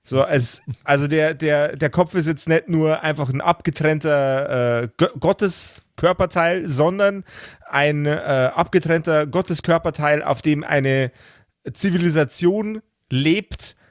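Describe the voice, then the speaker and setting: Male game master for casual podcast talk, slightly raised pitch, halting delivery with repeated word starts, dry sound. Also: no room sound. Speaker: game master of an actual-play role-playing podcast.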